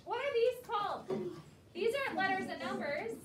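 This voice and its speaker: Woman primary teacher, high voice, question-and-answer delivery with pupils, caught from far off in a roomy space. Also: moderate pace. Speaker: elementary school teacher. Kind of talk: classroom discussion with young pupils. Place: indoor school classroom. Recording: roomy, distant mic, noisy classroom.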